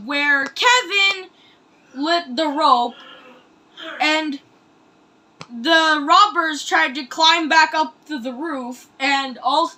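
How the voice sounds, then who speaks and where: A woman hosting a reaction video, commenting casually in an excitable, exclamatory, rambling way. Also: high-pitched, poor audio.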